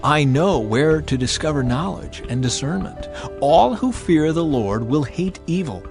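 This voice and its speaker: A man's voice: on the high side, lively intonation, measured pace. A man plays an ancient, exalted spirit of wisdom in a dramatized scripture reading. The delivery is earnest and commanding, a public proclamation with very varied intonation. Music plays underneath. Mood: proclaiming, urgent. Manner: declamatory, proclaiming to a crowd. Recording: music behind the voice.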